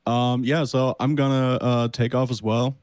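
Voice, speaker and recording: A man's voice: medium pitch, level tone, moderate pace, a little mellow, clear, resonant tone, no echo. A young man saying goodbye in casual chat, hesitant with many fillers. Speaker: departing call participant.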